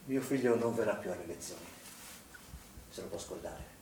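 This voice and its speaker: A man's voice: on the low side, normal speed, natural voice. An actor playing an anxious, accusing father who is protective of his children, in an insistent stage-drama style. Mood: cold, insistent. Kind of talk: stage theatre acting. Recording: echoey room, distant mic, background noise.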